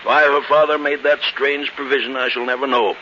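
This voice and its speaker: An actor playing a genial, teasing old uncle in a 1940s radio drama, hearty and avuncular. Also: male voice, mid-range voice, steady tone, a little fast, pushed and bright, lightly acted. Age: older. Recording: muffled, hissy 1940s radio recording.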